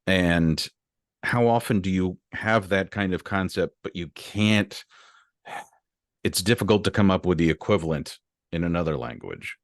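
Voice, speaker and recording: Deep-voiced male interviewer, dry sound. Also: normal speed, no echo. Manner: curious, affirming, thoughtful questions. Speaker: interview host. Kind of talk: conversational interview questions.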